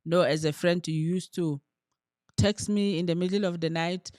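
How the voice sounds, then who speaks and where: A woman's voice: lowish voice, fairly even intonation, moderate pace, darkish tone, no room sound, studio quality. A woman co-hosting a podcast in casual chat, talking earnestly and searching for her words. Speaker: podcast co-host.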